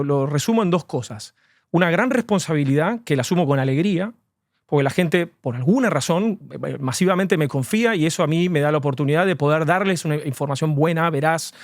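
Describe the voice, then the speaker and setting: A man's voice: fairly high pitch, a little fast, no echo, studio quality. A man, an immigration lawyer, answering interview questions earnestly and at length, with frequent fillers.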